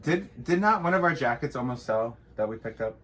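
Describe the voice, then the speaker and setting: A man's voice: medium pitch, expressive, a little fast, fairly bright tone. A man co-hosting a casual reselling chat, teasing and joking through his banter. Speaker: co-host of a reselling channel.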